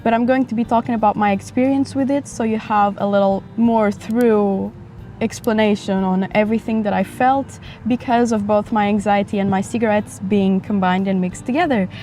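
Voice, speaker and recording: A woman in her twenties from Portugal, chatting frankly in a casual vlog, her voice a bit raspy from a dry throat, recorded outdoors near a highway. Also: steady tone, normal speed, mellow. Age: 20s. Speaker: vlogger. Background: passing motorcycles and traffic.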